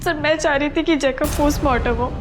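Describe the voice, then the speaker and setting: High-pitched earnest young woman, acted. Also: a little fast, close to an everyday voice. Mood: earnest, grave. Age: young adult. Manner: earnest, pleading, explanatory. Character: distressed young woman reporting her boyfriend's murder. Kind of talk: Hindi TV crime serial acting. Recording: loud dramatic background music. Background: dramatic music.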